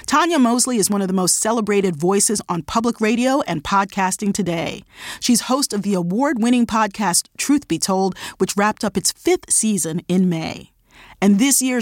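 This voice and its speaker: A woman's voice: mid-range voice, moderate pace, no echo, studio quality. A woman hosting an interview podcast, talking in an affirming, conversational way. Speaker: podcast host.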